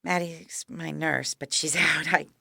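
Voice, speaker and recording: Wry, creaky-voiced dying woman. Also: low-pitched, steady tone, unhurried, sharp tone, no echo, heavily acted. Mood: weary, frank. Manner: weary, frank, dry. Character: dying older woman, cancer patient, wry and resigned. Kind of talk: audio drama acting.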